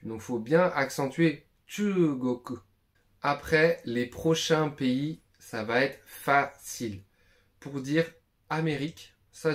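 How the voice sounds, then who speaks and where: A man giving a casual, slangy beginner language lesson to his viewers, chatting loosely and repeating himself as he explains. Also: mid-range voice. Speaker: YouTube Japanese tutor.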